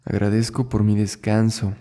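A man leading a guided meditation as an instructor, soothing and unhurried, speaking in short phrases with long pauses between them. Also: lowish voice, monotone, mellow. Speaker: yoga and meditation instructor, host.

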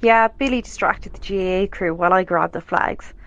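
A woman's voice: medium pitch, brisk, fairly bright tone, close to an everyday voice. An Irish woman playing a casual, down-to-earth rural woman in an amateur radio drama. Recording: slightly dull, lo-fi sound.

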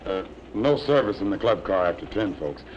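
A man's voice: deep voice, lively intonation, moderate pace. A man playing a courteous sleeping-car porter in a 1940s film, with a polite, deferential manner. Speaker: train porter. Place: studio set of a train car. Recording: dull, hissy old film soundtrack.

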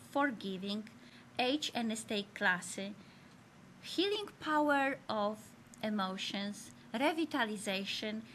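A middle-aged woman from Poland hosts a studio show for seniors, speaking English with a strong Polish accent. Her voice sits a little high, and she talks very slowly, earnest and encouraging despite broken grammar. A faint hiss lies under the recording. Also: fairly bright tone. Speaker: host. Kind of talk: presenting a health show for seniors. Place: studio in front of a green screen.

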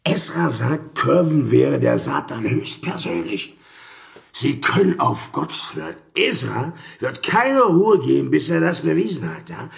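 A man's voice: a little high, sing-song, a little slow, creaky, heavily acted. An actor playing an agitated, gossiping townsman in an old port, theatrical, in an audio drama. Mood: indignant. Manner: theatrical, swinging from mutters to exclamations. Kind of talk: German audio drama acting.

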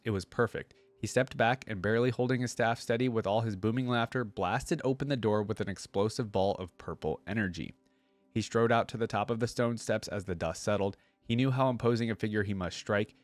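A young man reading lore aloud and commenting casually, with expressive reading and conversational asides, close to a desk microphone. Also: fairly low pitch, dry recording. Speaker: reaction video host. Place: indoor streaming setup. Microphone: close desk microphone on a boom arm.

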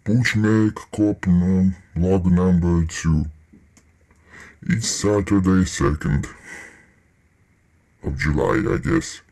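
A man playing a weary, grumbling repair worker who records a halting, sweary audio log. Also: sits low, fairly even intonation, a little mellow. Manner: hesitant, halting, casually sweary. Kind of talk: rambling employee audio log.

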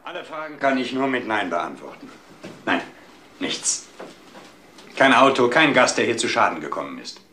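An actor playing a defensive, irritable country innkeeper who complains and evades in a crime radio drama. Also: male voice, mid-range voice, big pitch swings, normal speed, long pauses, pushed and bright. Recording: roomy, noisy old drama recording.

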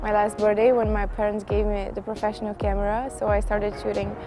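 A young woman with a Bulgarian accent introduces herself in a calm, measured way. Her pitch stays very level, sitting mid-range for a woman. Clear background music plays under her whole talk. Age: 20s. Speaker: model contestant. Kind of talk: self-introduction to camera.

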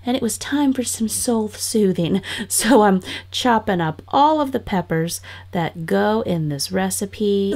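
A middle-aged woman narrating her home cooking and cleaning vlog in a chatty, upbeat voice-over. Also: mid-range voice. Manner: chatty and upbeat, with frequent laughs. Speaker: family vlogger. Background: guitar background music.

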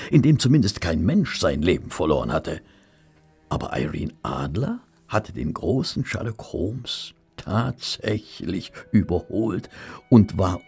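An actor playing a genteel, loyal detective's companion, narrating a radio play in a measured, dryly humorous way. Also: male voice, sits low, gravelly, a little mellow, breathy edge, full character voice. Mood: dry, rueful. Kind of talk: scripted narration in German audio drama.

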